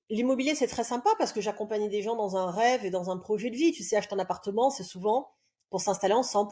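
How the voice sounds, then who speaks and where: A woman's voice: a little high, darkish tone. A woman in her forties from southern France, a love coach guest on a podcast, telling her story in a reflective, earnest way with frequent hesitations. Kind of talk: podcast interview, personal storytelling.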